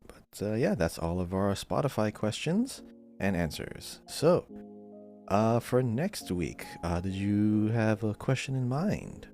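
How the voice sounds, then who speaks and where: A man with a low-pitched voice co-hosts a gaming podcast. He talks in an informal, chatty way, and faint music plays underneath. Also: very animated, takes it slowly, a little mellow. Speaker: podcast co-host. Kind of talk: casual gaming podcast chat.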